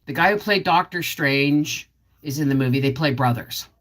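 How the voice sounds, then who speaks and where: A woman's voice: deep voice, normal speed, fairly bright tone. A middle-aged woman chatting casually on a live stream, rambling and answering viewer comments as she goes. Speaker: homestead channel host.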